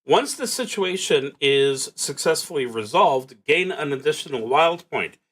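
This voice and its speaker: A man playing a solo tabletop roleplaying game on a stream, reading prompts aloud and thinking out loud in a halting, musing way. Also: on the high side, animated.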